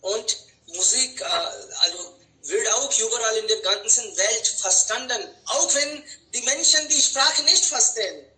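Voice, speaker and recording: A woman's voice: a little high, measured pace, pushed and bright. A woman hosting a group conversation over a video call, answering briefly and encouraging the speakers. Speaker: conversation club host. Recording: roomy room sound.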